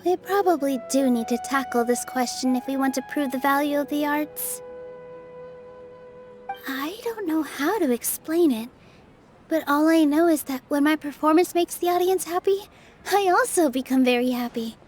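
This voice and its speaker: A woman's voice: sits high, normal speed, a little mellow, soft background music, lightly acted. An actress voicing an earnest, slightly bashful young woman dancer in a video game. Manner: sincere, a bit bashful.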